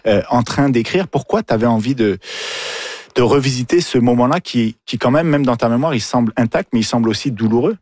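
A man's voice: medium pitch, fairly quick, darkish tone, dry recording. A man hosting a radio show, introducing a guest and interviewing conversationally.

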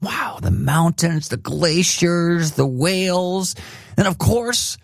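A man's voice: fairly high pitch, takes it slowly, vocal fry, no room sound, studio quality. A man announcing an upbeat, enthusiastic radio promo.

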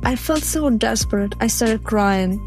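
A woman whose first language is not English, sharing a personal story slowly and earnestly. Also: fairly high pitch, expressive, soft background music. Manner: slow, careful, earnest.

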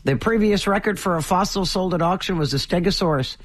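A man's voice: brisk, slight background noise. A man hosting a morning radio show, chatting casually and telling stories with chuckling asides. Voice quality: deep, slightly husky voice.

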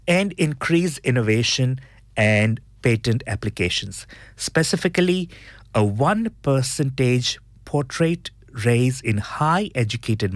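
Hoarse man with a lively, dry voice. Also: mid-range voice, no echo. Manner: earnest, explanatory, reads out statistics with emphasis. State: hoarse, recovering from losing his voice. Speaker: radio host. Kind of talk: spoken talk show segment.

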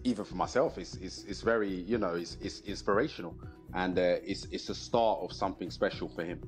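Deep-voiced man reflecting over music. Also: fairly quick. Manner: repeats and rephrases as he goes. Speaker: former footballer as commentator. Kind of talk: casual spoken reaction in a recorded clip. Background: music bed.

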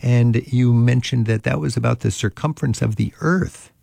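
An older man hosting a travel interview, warmly reminiscing and thinking aloud with small restarts. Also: medium pitch, moderate pace, gravelly, no room sound, studio quality. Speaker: travel radio show host. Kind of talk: conversational interview.

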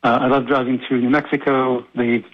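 Israeli-raised professor on a dull phone line. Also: male voice, mid-range voice, flat delivery, a little fast. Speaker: history professor, guest. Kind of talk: radio phone interview.

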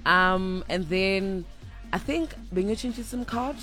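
A young South African woman with a deep voice, chatting playfully as a radio guest and slipping in Zulu phrases. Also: measured pace, bright, forceful tone, soft background music. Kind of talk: casual interview chat.

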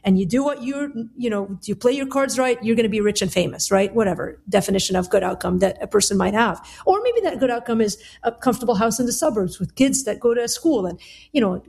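A middle-aged woman from Lebanon speaks as an interview guest. Her voice is fairly high with a husky edge, and she explains things in a candid, conversational way. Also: a little mellow. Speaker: interview guest, author and Bible teacher.

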